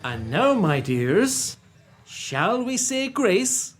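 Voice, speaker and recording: An actor playing a meek, kindly poor clerk and father who speaks gently and hesitantly in a radio play. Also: medium pitch, big pitch swings, extremely slow, husky, full character voice. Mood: gentle.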